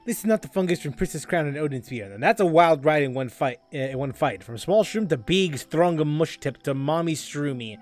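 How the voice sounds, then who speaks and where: A man's voice: a little high, lively intonation, brisk, hardly any pauses, darkish tone. A young man giving casual gameplay commentary as a streamer, rambling, repeating himself and laughing often. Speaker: game streamer. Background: game music.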